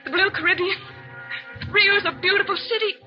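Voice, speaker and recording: High-voiced terrified young woman in radio suspense. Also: steady tone. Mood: panicked, frantic. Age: 20s. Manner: breathless, halting, repetitive, melodramatic. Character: terrified, unravelling young woman haunted by dread. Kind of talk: 1940s radio suspense acting. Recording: dull old-time radio recording, faint music.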